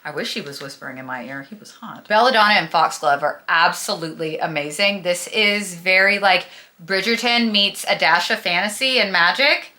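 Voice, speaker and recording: A woman's voice: on the low side, normal speed, bright, forceful tone. A young adult woman with a slight lisp, chatting casually and emphatically about books to camera in a gushing, dramatic way. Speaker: book vlogger and host.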